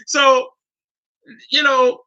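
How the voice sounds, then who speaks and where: A man in his fifties from Guyana with a Guyanese accent, telling stories in an animated, heartfelt way as a guest on a casual talk show, often restarting his sentences. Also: extremely high pitch, very slow, highs cut off. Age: 50s.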